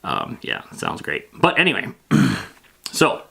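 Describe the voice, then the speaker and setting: Bright-voiced man, casual rambling explainer. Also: extremely low pitch, wildly swooping pitch, slow, gravelly, slightly rough. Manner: rambling, plain-spoken, matter-of-fact. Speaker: host of a tabletop roleplaying lore show. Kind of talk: casual, loosely improvised explainer.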